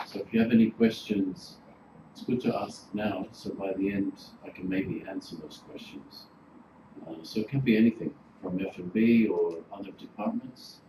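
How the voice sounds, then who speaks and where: A man's voice: on the low side, moderate pace. A man from Greece in his forties, a hotel manager giving an informal workshop with lots of fillers. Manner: chatty, informal, filler-heavy. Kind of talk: relaxed presentation to a class. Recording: roomy, noisy room recording.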